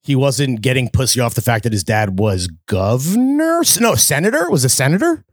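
A man's voice: mid-range voice, brisk, dry recording, clean studio sound. A man co-hosting a podcast, riffing in casual, profane banter. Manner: animated, profane, jokey riffing. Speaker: podcast co-host. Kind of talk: casual chat.

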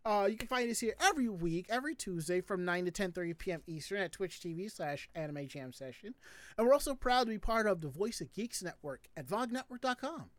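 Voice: mid-range voice, expressive, a little fast, dry recording. A host chatting casually and informally on a live show, talking in a chatty way. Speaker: podcast host.

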